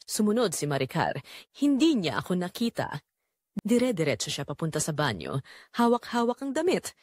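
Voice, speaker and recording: A woman's voice: on the low side, sing-song, moderate pace, darkish tone, no room sound. A woman with a Filipino accent reading a scripted first-person drama in an emotive, solemn way. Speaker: audio drama narrator.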